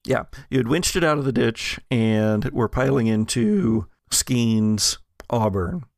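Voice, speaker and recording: A man narrating a tabletop game as podcast game master, in a casual, halting, improvised and amiable conversational style. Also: medium pitch, animated, dry recording, studio quality.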